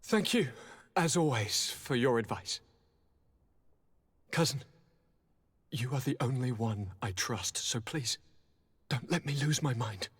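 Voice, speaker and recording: A husky, breathy suffering nobleman. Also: mid-range voice, expressive, heavily acted. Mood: pained, pleading. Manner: formal, theatrical, slow and pleading. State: suffering, weakened by sickness. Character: ailing young nobleman governor, courteous, anguished, devoted. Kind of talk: dramatic video game acting. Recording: long hall-like reverb.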